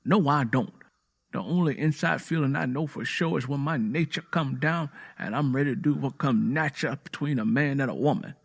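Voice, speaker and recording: A man's voice: mid-range voice, moderate pace, vocal fry, breathy edge. A man voicing a stern, embittered American Southern man with a blunt, defiant rural way of talking, in a narrated story. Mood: dismissive, exasperated.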